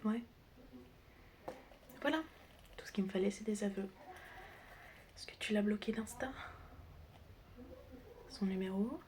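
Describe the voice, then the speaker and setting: A woman's voice: mid-range voice, a little slow, long pauses. Whispering woman, teasing ASMR, noisy background. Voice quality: breathy whisper mixed with soft speech. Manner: teasing, chatty, familiar, addressing the listener directly. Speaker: ASMR creator role-playing as a doctor and best friend. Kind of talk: whispered ASMR roleplay, close personal attention. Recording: clear background noise with clicking sounds.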